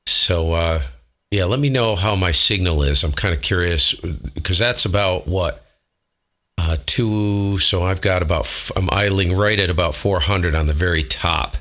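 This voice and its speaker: A man's voice: low-pitched, unhurried, sharp tone. A man chatting casually as an amateur radio operator, in a blunt, slangy way. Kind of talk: casual ham radio chat.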